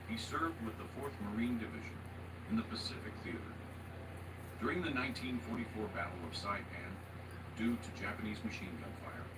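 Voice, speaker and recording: A man reading a scripted documentary narration in a steady, solemn way. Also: fairly low pitch, fairly quick. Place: video played during a stream. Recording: loud noisy playback from a distance.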